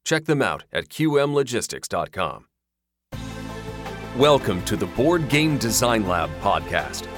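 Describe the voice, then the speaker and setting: A man's voice: fairly low pitch, sing-song, measured pace, over music. A man reading a scripted podcast intro in a polished announcer manner. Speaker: show announcer.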